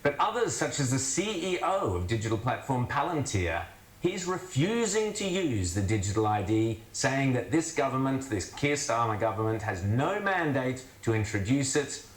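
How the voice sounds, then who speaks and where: A man's voice: medium pitch, sing-song, moderate pace, roomy sound. A man from Australia delivering wry, scornful news commentary. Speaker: commentator host.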